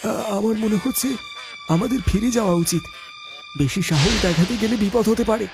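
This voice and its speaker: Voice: medium pitch, brisk, vocal fry, music in the background, close to an everyday voice. A performer voicing a panicky, fearful young villager in a horror cartoon, urgent and stammering, repeating words. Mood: uneasy.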